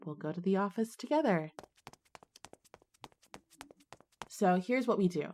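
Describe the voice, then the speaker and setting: A woman's voice: medium pitch, animated, a little fast, warm tone, slight background noise, natural voice. A woman playing a resourceful, take-charge schoolgirl who both narrates and speaks in an audio drama. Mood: decisive. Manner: decisive, organizing. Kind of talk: audio drama dialogue and first-person narration.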